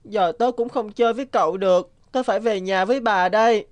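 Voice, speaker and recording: A woman's voice: mid-range voice, brisk, close to an everyday voice. A woman voicing a kind, humble young orphan boy in a cartoon, polite and earnest. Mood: worried.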